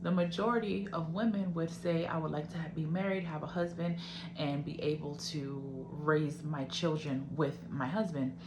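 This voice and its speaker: Low-voiced woman giving advice, echoey room. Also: steady tone, moderate pace. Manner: earnest, instructive, addressing the listener directly. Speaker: advice speaker. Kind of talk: informal motivational advice talk. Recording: roomy echo, clear hum and noise.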